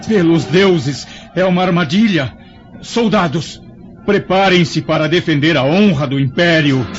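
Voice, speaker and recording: A man's voice: a little high, measured pace, muffled recording, faint music behind, natural voice. A man playing a cautious older Roman senator and loyal friend in an audio drama, speaking formally and courteously. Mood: alarmed, commanding.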